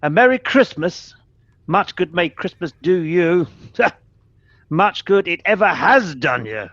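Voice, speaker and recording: Radio play miser, male actor, elderly, grumpy and curt, raised pitch, close dry sound with muffled top end. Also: big pitch swings, slow, fairly bright tone, no echo, full character voice. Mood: scornful. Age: older. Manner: curt, scornful, barking. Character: grumpy, miserly old businessman, scornful of Christmas.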